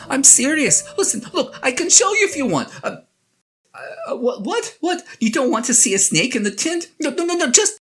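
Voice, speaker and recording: Woman acting as flustered shepherd husband, audio drama, stammery and earnest, pitch all over the place, guitar music behind. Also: bright, forceful tone, exaggerated voice. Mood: agitated, insistent. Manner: halting, stammering, earnest, self-correcting. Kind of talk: one-sided audio drama monologue, conversational and comic. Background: plucked guitar music.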